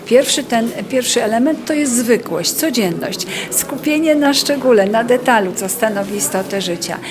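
A woman's voice: fairly high pitch, expressive, moderate pace, crowd noise. A woman interviewed at a festival, speaking earnestly and articulately with occasional fillers. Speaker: festival event host interviewed. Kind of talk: spontaneous street interview.

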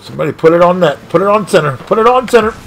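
Excitable man narrating his own gameplay. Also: high-pitched, rapid-fire, slight background noise. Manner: animated, exclaiming, frustrated at missed shots. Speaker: gaming streamer. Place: home gaming room.

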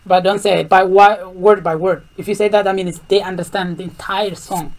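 A man's voice: high-pitched, steady tone, brisk. Explanatory young man, Somali native speaker. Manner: explanatory, lecturing, repeats points. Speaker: vlog co-host explaining English skills. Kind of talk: casual outdoor vlog chat. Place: outdoors in a park.

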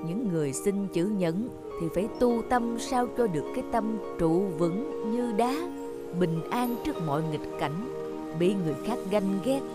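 Woman acting a serene, measured calligraphy master. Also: medium pitch, lively intonation, lightly acted. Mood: calm, instructive. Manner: measured, explanatory. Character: serene, learned male village calligraphy master. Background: music.

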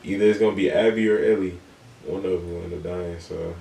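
A man in his twenties recaps a game in a loose, meandering way, filling gaps with "you know". His voice is very deep and gravelly. He sits set back from the mic in a small room that sounds roomy, with faint noise behind. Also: a little fast, far from the mic. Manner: relaxed, meandering, lots of fillers and "you know". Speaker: reaction video host. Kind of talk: casual rambling recap.

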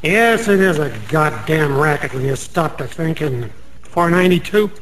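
An actor playing a meek, dreamy American night clerk in a radio drama, earnest and naive in manner, star-struck by gamblers. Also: male voice, a little high, expressive, moderate pace, a bit bright, slight background noise, poor audio. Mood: sheepish musing.

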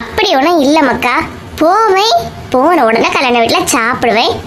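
A woman's voice: sits high, sing-song, moderate pace, fairly bright tone, a little hiss or hum. A woman voicing a bustling, chatty village mother in a comedy cartoon, with colloquial Kanyakumari Tamil dialect and a nagging manner. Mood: coaxing.

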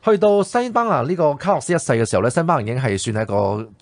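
A man's voice: fairly high pitch, very animated, very fast, no echo. A man with a Hong Kong Cantonese accent co-hosting a radio history talk, explaining things conversationally with emphatic repetition. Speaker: radio programme co-host. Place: radio studio.

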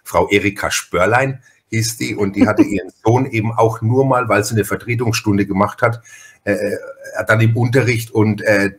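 A man's voice: on the low side, fairly even intonation, moderate pace. A man from Franconia with a Franconian German accent, hosting a casual interview podcast in a chatty, rambling way. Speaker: podcast host.

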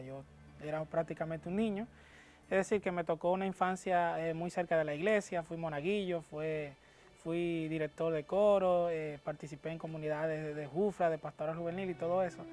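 A man's voice: fairly high pitch, a little mellow. A Dominican man in his thirties giving a personal testimony to camera in a studio, hesitant, with many fillers and restarts. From Dominican Republic. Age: 30s. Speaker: guest. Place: TV studio, dark backdrop. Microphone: lapel mic.

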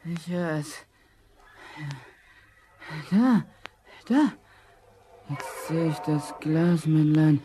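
An actor playing an anxious, easily awed young charcoal burner in a storybook radio play, speaking hesitantly. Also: male voice, fairly high pitch, animated, extremely slow, long pauses, dark tone. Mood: awed, dreamy. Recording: muffled old vinyl, slight noise.

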